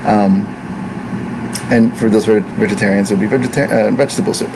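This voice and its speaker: Man, low voice, relaxed health teacher, thinking aloud with restarts; hum of air conditioning behind. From America. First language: Italian. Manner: unhurried, reflective, with fillers and restarts. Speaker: Ayurveda practitioner. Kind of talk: informal teaching talk.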